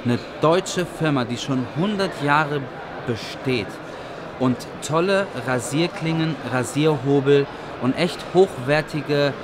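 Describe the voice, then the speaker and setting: A man in his thirties, a barber, giving an excited, chatty vlog tour at a trade fair. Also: a little high, slow, background noise. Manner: upbeat, enthusiastic, chatty. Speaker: vlogger and barber. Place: busy indoor convention hall. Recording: crowd chatter behind.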